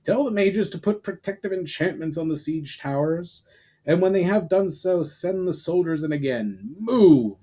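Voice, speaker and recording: Man acting out a loud commanding army general. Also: a little high, expressive, moderate pace, slightly rough, pitched above the performer's own voice. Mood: urgent, commanding. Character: male army general barking urgent battle orders.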